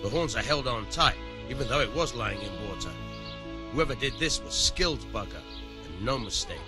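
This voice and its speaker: Male actor as wry medieval appraiser, animated pitch. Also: moderate pace, loud music behind the voice, close to an everyday voice. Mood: wry, appraising. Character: wry, knowledgeable medieval man examining evidence. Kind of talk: video game dialogue acting.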